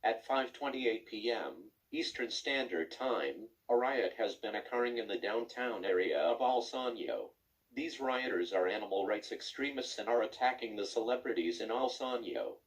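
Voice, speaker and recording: A man's voice: lowish voice, moderate pace, recorded from a distance, poor audio. A man's synthetic-sounding voice reading a scripted emergency alert in a formal, stilted manner.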